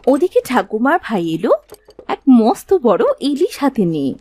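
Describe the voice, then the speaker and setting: A woman's voice: a little high, very animated, normal speed, dark tone, slightly rough. A woman narrating a scripted cartoon story as the storyteller.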